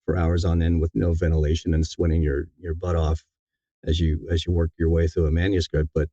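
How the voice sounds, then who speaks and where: Very deep, mellow-voiced man. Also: level tone, husky, no room sound, lo-fi sound. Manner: halting, reflective, sincere. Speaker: guest, audiobook narrator. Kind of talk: casual interview conversation.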